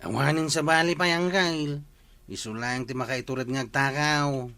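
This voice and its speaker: A man's voice: on the high side, moderate pace, pushed and bright. A man with a Filipino Ilocano accent playing an insistent, suspicious village official in a colloquial comedy drama. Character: male village official, suspicious, quick to accuse.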